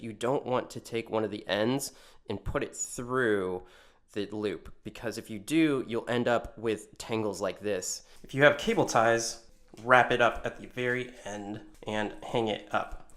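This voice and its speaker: A man's voice: medium pitch, a bit bright. A man giving an informal hands-on demonstration, talking through each movement step by step. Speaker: tutorial presenter. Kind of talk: casual hands-on instructional demonstration.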